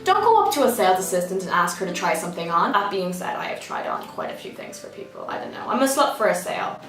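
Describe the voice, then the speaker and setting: A woman's voice: on the low side, sing-song, fast talker, a bit bright. A young Irish woman with a slightly American-tinged accent, telling sarcastic work stories in a casual chatty way, and swearing freely. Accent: Irish, partly American-sounding. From Ireland. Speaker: vlogger. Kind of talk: casual storytime monologue. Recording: roomy, slightly distant, music bed.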